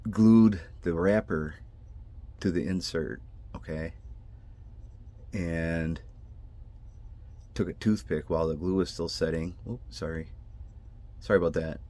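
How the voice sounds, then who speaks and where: A man's voice: low-pitched, mellow. A man with a congested, nasal voice and a twang rambles in a hobby vlog about building scale models, breaking off, apologizing and restarting his sentences. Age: adult with a son. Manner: meandering, self-interrupting, apologetic. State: congested from sinus trouble.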